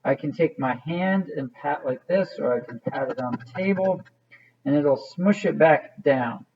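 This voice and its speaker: A man's voice: a little high, a little slow, a little mellow, slightly rough, muffled recording. A man teaching a hands-on craft demonstration in a casual, informal way, thinking aloud as he works. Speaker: art teacher.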